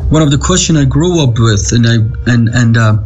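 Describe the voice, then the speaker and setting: A man's voice: mid-range voice, a little mellow, dull audio, faint music behind. Iranian man in his thirties, earnest testimony. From Iran. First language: Farsi. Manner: earnest, halting, with frequent fillers and restarts. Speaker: interviewee telling his life story. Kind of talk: personal testimony. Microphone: video call.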